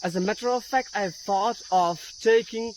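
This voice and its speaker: A woman from India with a mixed Indian and American accent, an English teacher talking earnestly to camera with halting restarts. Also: medium pitch, low-quality recording. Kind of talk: casual talk to camera. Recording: loud insect and cricket noise behind. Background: loud crickets and insects.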